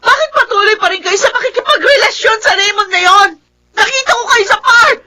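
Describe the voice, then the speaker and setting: An actress playing a resentful young daughter in a Filipino radio drama, with a shrill voice. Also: female voice, brisk, bright, forceful tone, raised voice, exaggerated voice. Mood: furious, tearful. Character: resentful young daughter, angry at her widowed mother.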